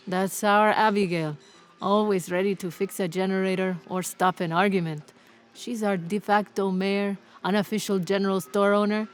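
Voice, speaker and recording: A woman's voice: medium pitch, close to an everyday voice. An actress playing a chatty, friendly female villager who greets newcomers, talking in a teasing, easy way in a video game cutscene.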